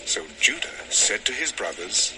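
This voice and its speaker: A man's voice: fairly low pitch, expressive, a little slow, noisy background. A man narrating a dramatized Bible story as a solemn, scripted storyteller. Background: music.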